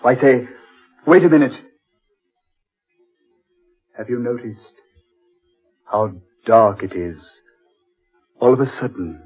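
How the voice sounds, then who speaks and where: A man's voice: mid-range voice, animated, slow, pauses often, breathy edge. An actor playing a nervous, cautious man in an old-time radio horror drama, with a dramatic, hesitant delivery. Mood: uneasy. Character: nervous man, cautious and excitable, lost in forest. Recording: dull vintage radio recording with music.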